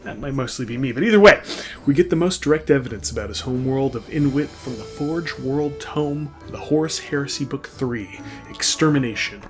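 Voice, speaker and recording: A man's voice: mid-range voice, brisk. A man delivering comedic scripted narration in a sardonic, mock-grand manner, tossing in irreverent asides. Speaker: narrator of a comedic fan lore video. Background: background music.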